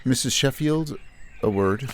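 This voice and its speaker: A man's voice: mid-range voice, a little slow, slight background noise, natural voice. A man playing a stern, self-important novelist in a comedic space audio drama.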